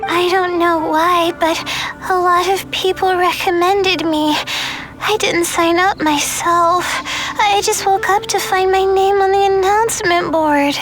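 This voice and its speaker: Timid, very high-voiced student girl. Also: steady tone, unhurried, fairly bright tone, faint music behind. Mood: nervous. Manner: hesitant, stammering, meek. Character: timid, anxious, sleep-deprived young female student. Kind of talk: scripted video game character acting.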